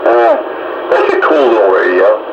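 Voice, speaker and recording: A man's voice: on the low side, wildly swooping pitch, normal speed. A man in his sixties chatting casually on the air as a radio hobbyist, heard over a two-way radio. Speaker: amateur radio operator in a group chat. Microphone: radio microphone. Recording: muffled two-way radio, roomy, heavy static.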